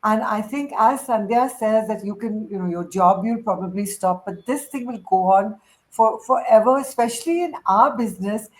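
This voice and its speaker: A woman's voice: mid-range voice, normal speed, poor audio. A woman hosting a casual group discussion in an effusive, encouraging way. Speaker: host of a group chat. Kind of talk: moderating a casual panel discussion.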